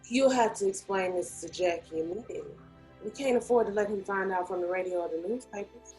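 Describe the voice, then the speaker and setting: Female actor, mid-pitched protective wife, candid, table-read drama over faint music. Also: fairly quick, a little mellow, natural voice. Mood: concerned.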